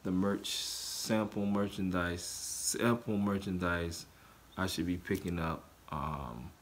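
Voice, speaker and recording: A man's voice: sits low, slow pace, a little hiss or hum. A man in his forties talking loosely to camera in a casual vlog from his living room, full of fillers. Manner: loose and rambling, many fillers. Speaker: vlogger. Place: living room with couch, indoors.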